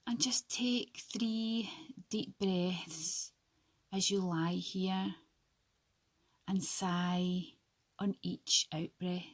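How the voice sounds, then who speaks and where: A woman guiding a sleep meditation in a soft, breathy, soothing and hushed voice, leaving long pauses between short phrases. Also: fairly low pitch, no room sound. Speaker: yoga nidra guide. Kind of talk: slow guided sleep meditation.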